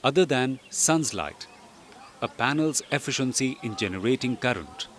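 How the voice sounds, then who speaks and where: A man reading scripted instructional narration for a training film in a measured, didactic way. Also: mid-range voice, animated, a bit bright. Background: background music.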